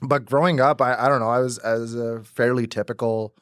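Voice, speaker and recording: A man's voice: mid-range voice, steady tone, a little fast, no echo, studio quality. A young man from Pakistan whose first language is Urdu, talking casually as a podcast guest with many restarts and fillers. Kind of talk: casual conversational interview.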